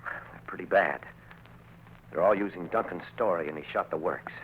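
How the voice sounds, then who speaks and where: A man's voice: low voice, normal speed, natural voice. An actor playing an earnest, decent young newspaperman in 1940s radio drama style. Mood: subdued concern. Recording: tinny, noisy old radio recording.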